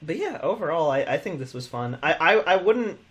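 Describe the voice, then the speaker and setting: A man giving his thoughts on a video game in a casual chat, speaking haltingly and often starting his phrases over. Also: on the high side, sing-song, fairly bright tone. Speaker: host of a video game series.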